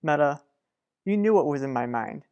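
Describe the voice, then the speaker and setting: A man playing a reserved, gravely concerned confidant and old flame in a radio soap opera, speaking in a measured way. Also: on the high side, expressive, a little mellow, highs cut off. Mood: guarded, wounded.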